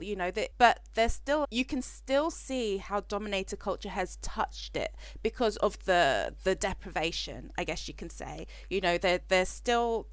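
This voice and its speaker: A woman's voice: medium pitch, no echo. A woman from Africa hosting a podcast interview, speaking in a reflective, searching way that trails off mid-thought. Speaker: podcast host.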